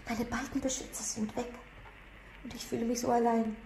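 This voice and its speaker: A woman's voice: on the high side, flat delivery, normal speed, mellow, echoey room, a little hiss or hum, lightly acted. An actress playing an anxious, polite young female house companion in a German radio thriller. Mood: lonely, anxious.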